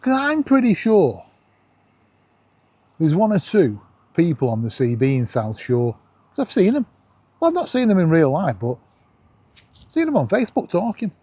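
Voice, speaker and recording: A man's voice: high voice, moderate pace, long pauses, slightly rough, dry recording. A man with a Northern English accent chatting casually as a vlog host, joking and poking fun at himself. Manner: jokey, animated, self-deprecating. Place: outdoors at night on a seafront promenade. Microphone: camera worn around the neck.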